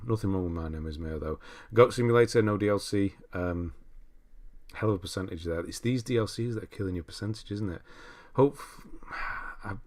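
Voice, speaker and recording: A man hosting a casual livestream, rambling and thinking aloud as he reads out messages and comments on lists. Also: fairly low pitch. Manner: rambling, thinking aloud, often trailing into asides. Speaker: livestream host. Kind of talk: casual live chat, reading out viewer messages and commenting on game lists.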